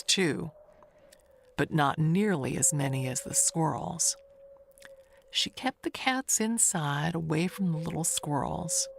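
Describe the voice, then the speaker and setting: Actress as slow-talking older woman, gossipy and confiding. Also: sits low, creaky, slight background noise, full character voice. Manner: confiding, rambling, self-correcting. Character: chatty older woman neighbor gossiping, secretly wistful. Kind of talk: audio mystery monologue.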